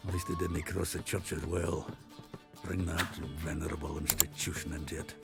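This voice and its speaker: A good-natured, salty companion in a fantasy game. His lowish voice is gravelly and his talk blunt and colloquial, with music behind. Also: flat delivery, normal speed. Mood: wary. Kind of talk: video game dramatic acting.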